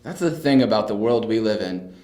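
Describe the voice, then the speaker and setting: A man's voice: fairly low pitch, expressive, brisk, warm tone, natural voice. A man acting a supportive, philosophical older brother in an amateur audio drama, recorded in an echoey room. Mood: reassuring, reflective.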